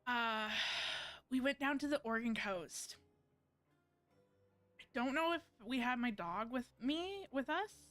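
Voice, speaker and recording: A woman's voice: on the high side, lively intonation, unhurried, long pauses, a bit bright, no room sound, faint music behind. A woman giving casual live-stream commentary on a game, rambling and thinking aloud as she plays.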